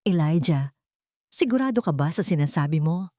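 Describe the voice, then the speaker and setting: A woman's voice: low voice, expressive, moderate pace, dark tone, dry recording. Woman voicing a worried older father, dry sound. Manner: anxious, questioning. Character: worried, status-minded older father in a family drama.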